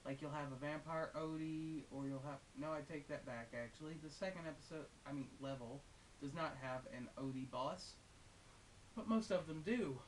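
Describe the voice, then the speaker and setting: A man's voice: fairly high pitch, moderate pace. A man giving a casual, unscripted video game review in a rambling, hesitant but amused and enthusiastic way. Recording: hissy, noisy home recording.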